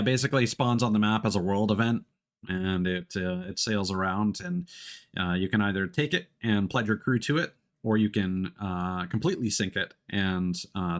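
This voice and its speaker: Low-voiced man rambling casually on stream. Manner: rambling, halting explanations. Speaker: live streamer playing games. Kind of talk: casual chat while gaming.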